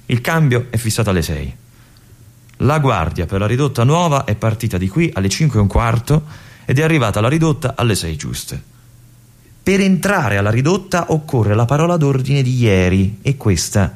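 An actor playing a young army lieutenant at a remote fortress in a theatrical radio monologue, introspective and questioning himself, sometimes dropping to a whisper. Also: male voice, mid-range voice, a little mellow, husky.

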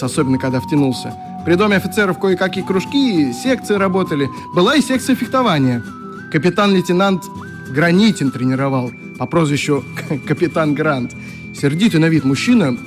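An actor playing a friendly young youth leader in a radio drama, telling stories in a chatty, expressive way with a wistful streak. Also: male voice, fairly high pitch. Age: young adult. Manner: chatty, expressive, acts out remembered exchanges. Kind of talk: radio drama, reminiscing dialogue.

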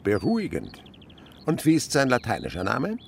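A man's voice: medium pitch, wildly swooping pitch, moderate pace, vocal fry, a little hiss or hum. An older male actor playing an enthusiastic, teasing naturalist uncle in a German radio play, fond and instructive in a theatrical way. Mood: awed, animated.

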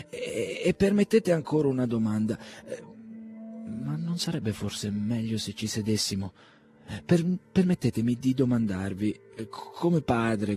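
A man's voice: fairly low pitch, dark tone. A man voicing an eager old country doctor and proud father who talks in a ceremonious, effusive way and stammers when nervous. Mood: hesitant. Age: older.

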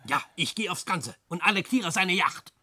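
Radio-play role: a brash, husky schemer, very bright tone, lively pitch. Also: male voice, moderate pace, no echo, heavily acted. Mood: scheming. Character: scheming American man Walton, brash. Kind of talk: classic radio drama acting.

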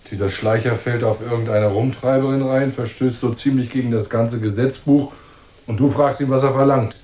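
An actor playing a hot-tempered, bullying crooked cop in a noir radio drama, sneering and threatening. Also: male voice, medium pitch, fairly even intonation, moderate pace, dark tone, echoey room, lightly acted. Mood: angry, scornful. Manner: sneering, threatening, gruff-spoken.

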